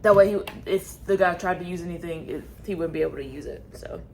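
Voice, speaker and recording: A woman's voice: fairly low pitch, quick pace, darkish tone, a little hiss or hum. A woman in her thirties co-hosting a podcast, telling a story in casual chat with many fillers. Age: 30s. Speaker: podcast co-host.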